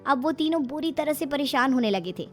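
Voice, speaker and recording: A woman's voice: high voice, lively intonation, fairly quick, faint music behind. A woman storyteller reading a scripted story narration.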